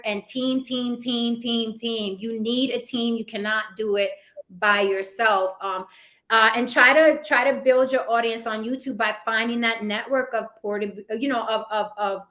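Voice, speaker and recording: A woman's voice: fairly high pitch, fairly bright tone. A woman actress being interviewed, talking casually and animatedly, often repeating words for emphasis and asking "right?". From Puerto Rican heritage. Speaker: actress and YouTuber guest.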